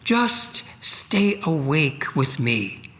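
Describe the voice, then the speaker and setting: A man acts out a sorrowful holy man at prayer in a solemn sacred story. The voice is fairly high and intense, pleading very slowly in halting, drawn-out phrases. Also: big pitch swings, a bit bright, heavily acted. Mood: soft pleading.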